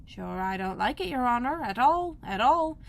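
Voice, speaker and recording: A woman voicing Pat, a timid, deferential male laborer who speaks slowly. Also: on the high side, lively intonation, creaky, slight background noise, pitched above the performer's own voice. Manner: slow, deferential, says "your honor".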